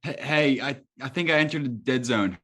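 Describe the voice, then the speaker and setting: A man's voice: medium pitch, normal speed, fairly bright tone, lightly acted. A man playing an earnest, brainy young rookie superhero with a hesitant manner, at a script table read. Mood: confused, shaken.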